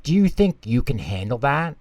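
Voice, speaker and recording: Storybook role: bossy, condescending little girl, performed by a man, pitched up from his own voice, very dry sound. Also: big pitch swings, moderate pace, darkish tone, dry recording, lightly acted. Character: bossy, scornful little girl leading toddlers on adventures.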